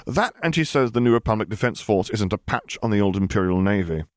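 A man's voice: on the low side, lively intonation, fast, dry recording, studio quality, lightly acted. A man voicing an earnest, sheepish young male student who rambles chattily and self-deprecatingly, in an audiobook story. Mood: confiding.

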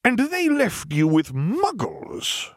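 A man voicing a haughty, menacing male stranger with a formal, measured manner, in an audiobook. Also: high-pitched, huge pitch swings, gravelly, no echo, clean studio sound, pitched above the performer's own voice, heavily acted. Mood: affronted, incredulous. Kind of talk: audiobook character voice.

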